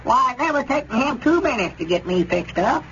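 An actor playing a gullible old country storekeeper with a rural Southern American accent, rambling and repeating himself in 1930s radio comedy style. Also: male voice, extremely high pitch, very animated, a little fast, fairly bright tone, heavily acted. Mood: earnest, chatty. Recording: dull, noisy 1930s radio recording.

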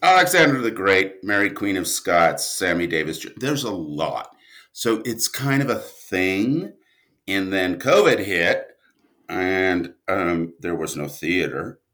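Male guest, low-pitched and unhurried, halting and deliberate, conversational with emphatic phrasing. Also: big pitch swings, bright, forceful tone. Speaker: podcast guest, writer and director. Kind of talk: conversational interview answers.

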